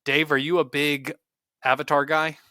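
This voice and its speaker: A man's voice: fairly high pitch, flat delivery, moderate pace, bright, forceful tone, no echo, studio quality. A man co-hosting a podcast, presenting news in a semi-scripted rundown. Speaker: podcast co-host.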